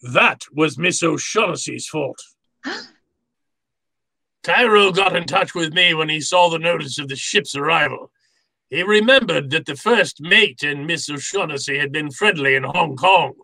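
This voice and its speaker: An actor playing a jovial, cunning older crime boss in a radio drama, speaking with florid, formal politeness. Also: male voice, fairly high pitch, expressive, a little slow, gravelly, pushed and bright, dry recording, exaggerated voice. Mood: smooth, explanatory. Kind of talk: old-time radio drama acting.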